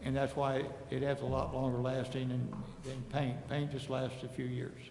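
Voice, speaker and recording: A man's voice: mid-range voice, monotone, normal speed, gravelly, a little mellow. A man explaining technical details informally as a staff member at a public meeting in a large council chamber. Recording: echoey meeting hall, faint noise.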